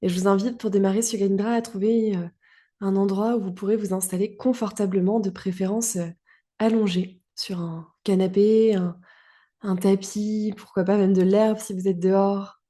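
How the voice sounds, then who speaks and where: A woman guiding a relaxation meditation as a yoga teacher, calm and soothing, in short phrases with long pauses. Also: mid-range voice. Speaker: yoga nidra guide.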